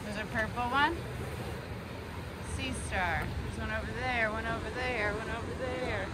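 A woman's voice: on the high side, moderate pace. A woman chatting playfully as she hikes a rocky beach at night, filming on a body-worn camera. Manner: chatty, playful, excited exclamations. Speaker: hiker vlogger. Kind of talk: casual narration while hiking. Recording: noisy outdoor camera audio.

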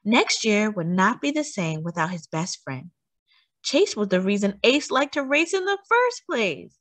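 Animated woman reading a children's storybook. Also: mid-range voice, unhurried. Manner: animated, acting out sighs and cheers. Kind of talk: expressive children's storybook read-aloud.

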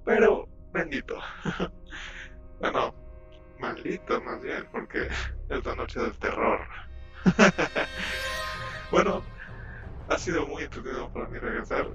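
A man's voice: medium pitch, muffled recording. A man casually hosting his video, introducing it and signing off to his viewers. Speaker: video host.

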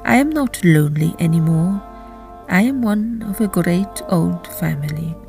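A reader voicing a dying old woman who speaks slowly and weakly yet with command. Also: mid-range voice, lively intonation, vocal fry, darkish tone, soft background music. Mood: solemn. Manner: slow, weak but commanding.

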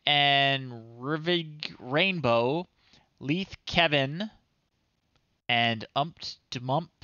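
Male stream host, thirties, casual and amused, crisp tone, leisurely delivery. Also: medium pitch, pushed and bright, no room sound, dull audio. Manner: unhurried, amused, halting with pauses. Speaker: livestream host. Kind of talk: casual livestream commentary, reading children's stories aloud. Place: small home room, indoors.

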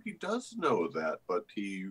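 An older man, an actor giving reflective, articulate interview answers over a video call in measured phrases. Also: sits low, huge pitch swings, fairly bright tone, slightly rough. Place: indoor room with bookshelves.

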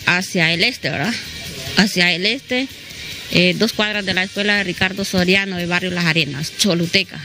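A woman's voice: lowish voice, normal speed, over music. A middle-aged woman with a southern Honduran accent giving spontaneous interview testimony, repeating phrases and adding tag questions, into a handheld microphone. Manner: repeats phrases, often adds "¿verdad?". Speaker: local resident being interviewed. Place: at her house, open air. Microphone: handheld reporter microphone held toward her.

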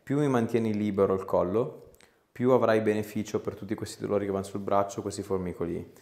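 A man in his thirties working as a chiropractor, giving calm, casual, reassuring instructions and short questions to a patient. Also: fairly low pitch, quick pace. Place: small clinic treatment room.

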